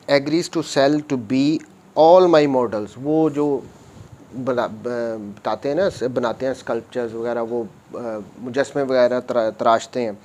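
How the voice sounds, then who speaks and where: A man's voice: mid-range voice, a little mellow. A man with a Pakistani accent giving an explanatory law lecture, reading statutes aloud and methodically repeating points as he switches between Urdu and English.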